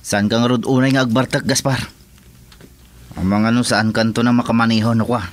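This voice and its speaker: A bantering henchman played by a man in a radio drama. He has a medium-pitched voice and a casual, teasing delivery. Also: little pitch movement, normal speed, close to an everyday voice.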